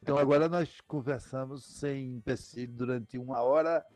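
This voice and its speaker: A man's voice: mid-range voice, fairly even intonation, normal speed, darkish tone, no echo. Older man, podcast host, rambling casual talk, faint music. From Paraíba, Brazil. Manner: chatty, rambling with restarts. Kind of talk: casual interview chat.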